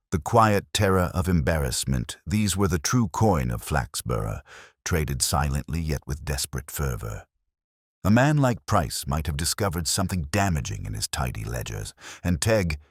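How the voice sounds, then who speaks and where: A man with an extremely deep voice narrates a mystery in a measured, wry and unhurried way. His pitch rises and falls with lively movement. The recording is dry and close, with no room sound. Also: slightly rough, dry recording.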